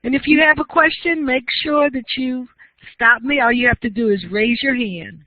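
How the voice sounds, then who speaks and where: A woman giving a university lecture, explaining terms in a slow, deliberate way and pausing to invite questions. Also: sits high, no echo. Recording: tinny lo-fi dry classroom recording.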